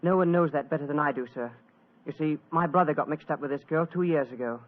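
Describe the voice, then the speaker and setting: Eager, high-voiced young British civil servant, male actor. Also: quick pace, close to an everyday voice. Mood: earnest. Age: young adult. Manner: earnest, polite, deferential. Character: eager, deferential young British Foreign Office man. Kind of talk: old-time radio drama acting. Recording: thin, phone-like old radio recording.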